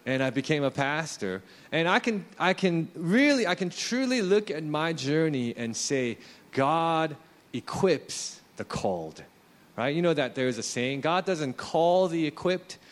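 A man's voice: fairly high pitch, very animated, measured pace. A man in his late thirties, a pastor, preaching a sermon in a conversational, self-deprecating way. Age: 30s.

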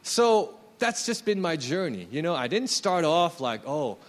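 A man's voice: a little high, big pitch swings, a little slow. A man in his late thirties, a pastor, preaching a sermon in a conversational, self-deprecating way. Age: 30s.

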